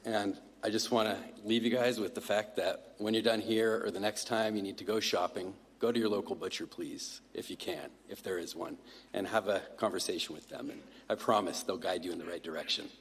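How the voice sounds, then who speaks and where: A middle-aged man giving a halting award acceptance speech, full of fillers. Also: fairly low pitch, fairly even intonation, a little hiss or hum. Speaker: award winner. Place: large hall. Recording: long reverb of a big hall.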